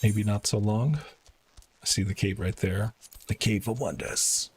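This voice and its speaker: A man's voice: lowish voice, a little slow. A man live-streaming a game, casually commenting and reading quest dialogue aloud, with some noise in the recording. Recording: clearly noisy home stream audio.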